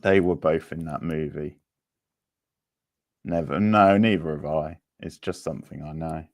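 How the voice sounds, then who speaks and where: A man's voice: very deep voice, unhurried, no echo, clean studio sound. A man hosting a casual quiz, chatting and answering participants as he reads out questions. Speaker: quiz host.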